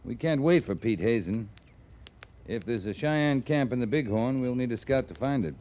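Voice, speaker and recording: An actor playing a terse, dry-humored cavalry captain in a 1950s radio western, with a gruff, blunt manner. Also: male voice, medium pitch, lively intonation, moderate pace, a little mellow, natural voice. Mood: firm, measured. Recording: old radio recording with background noise.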